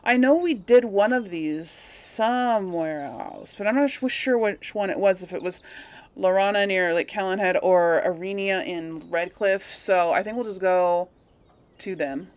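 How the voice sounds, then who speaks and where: A woman's voice: medium pitch, unhurried, clear, resonant tone. A woman hosting a casual let's play, chattily thinking aloud as she plays. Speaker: gaming video host.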